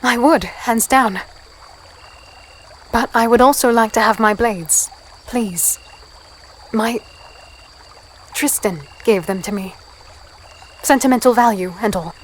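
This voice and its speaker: Casting: actress as young human captive heroine, higher-pitched, hesitant and curious, fantasy audio drama with insect noise behind. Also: female voice, slow, pauses often. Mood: polite, guarded. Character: guarded, curious young human woman, narrating her captivity. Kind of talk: first-person audio drama narration and dialogue.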